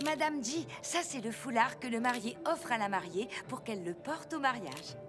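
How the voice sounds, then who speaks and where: A woman's voice: a little high, expressive, normal speed, natural voice. A woman playing a gushing, eager wedding hostess in a French film dub. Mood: excited. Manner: effusive, eager. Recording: music behind the voice.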